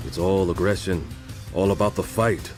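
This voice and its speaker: An actor voicing a stern, terse male character in an animated film, speaking ominously. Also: low voice, normal speed, natural voice. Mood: grim. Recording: music behind.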